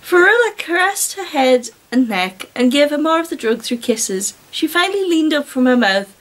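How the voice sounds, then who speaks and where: A woman's voice: high-pitched, sing-song, moderate pace, a bit bright. A British woman reading a story aloud with giggly, incredulous, sarcastic asides. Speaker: reader and commentator.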